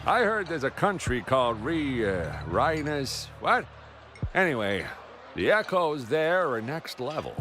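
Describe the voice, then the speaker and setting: A man's voice: a little high, very animated, measured pace. A man voicing a friendly, rambling local in a video game cutscene. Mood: chatty. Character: chatty easygoing local man. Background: game music.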